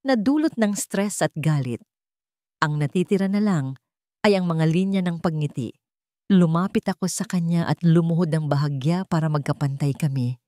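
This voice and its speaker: A woman narrates a scripted, dramatic story in a fairly low voice. The recording is dry and close, with almost no room sound. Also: a little mellow, no echo.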